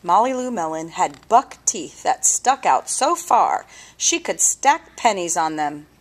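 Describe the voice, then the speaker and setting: A woman reading a children's story aloud with deliberate, expressive phrasing and dramatic pauses. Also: lowish voice. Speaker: storybook reader.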